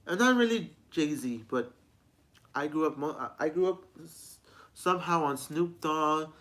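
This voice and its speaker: A man's voice: fairly high pitch, animated, a little slow, fairly bright tone. A man in his twenties giving a rambling, repetitive personal vlog talk, often restarting his sentences as a music reviewer. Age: 20s.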